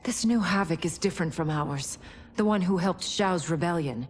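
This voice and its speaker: A woman's voice: lowish voice, normal speed, slight background noise, lightly acted. A woman playing a serious, concerned warrior in a video game cutscene, speaking earnestly and directly. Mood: grave, concerned.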